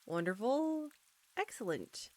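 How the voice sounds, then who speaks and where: A woman playing an embarrassed, anxious young roommate in an intimate audio roleplay, speaking softly and haltingly straight to the listener. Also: on the high side, sing-song, full character voice. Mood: flustered, exasperated. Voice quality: soft. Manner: halting, flustered, nervous stumbling.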